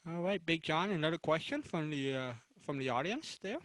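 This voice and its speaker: Guy moderating a panel, kinda high voice, casual, stumbles and repeats words. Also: expressive, brisk, fairly bright tone, no echo. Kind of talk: casual chat.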